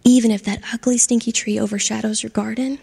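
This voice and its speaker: A woman voicing a wise, gentle old oak tree in a storybook, speaking in a soft, hushed whisper. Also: fairly high pitch, steady tone, quick pace, gravelly, breathy edge. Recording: long hall reverb.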